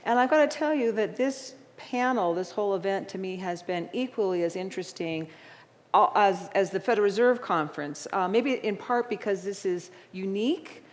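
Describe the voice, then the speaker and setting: A woman's voice: mid-range voice, normal speed. A woman moderating a public panel, chatting easily through her introductions with a few fillers. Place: large event hall. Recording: long hall reverb.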